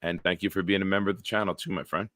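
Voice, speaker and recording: A man in his forties hosting a live-stream show, reading viewer comments aloud and bantering bluntly with a smooth, deep voice. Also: steady tone, rapid-fire, a bit bright, no echo, clean studio sound. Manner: banters with chat, blunt and profane.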